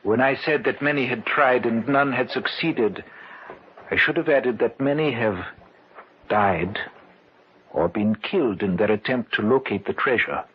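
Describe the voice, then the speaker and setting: A man's voice: mid-range voice, slight background noise, lightly acted. Man playing solemn foreign treasure seeker, muffled old radio. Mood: grave warning. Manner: formal, measured storytelling. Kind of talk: old-time radio drama acting.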